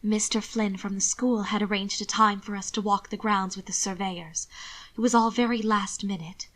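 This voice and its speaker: A woman doing a hushed, anxious young gentlewoman. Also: mid-range voice, fairly even intonation, normal speed, lightly acted. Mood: worried. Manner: whispering, hushed.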